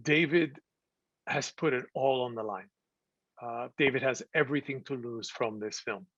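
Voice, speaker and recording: A man's voice: medium pitch, moderate pace, a bit bright, dry recording. Man, filmmaker giving a talk, earnest, gropes for words, dry, slightly muffled recording. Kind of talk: presentation to an audience. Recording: slightly dull, dry sound.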